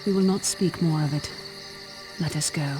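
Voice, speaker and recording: A woman playing an ancient, regal elven queen in a fantasy video game, solemn, measured and formal. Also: deep voice, music in the background, natural voice. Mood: calm, resigned. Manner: slow, measured, grave, formal.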